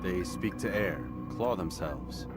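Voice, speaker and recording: An actor playing a proud young assassin who speaks curtly and confidently, over a loud game score. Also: male voice, low voice, slow, loud music behind the voice. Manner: curt, flat and confident.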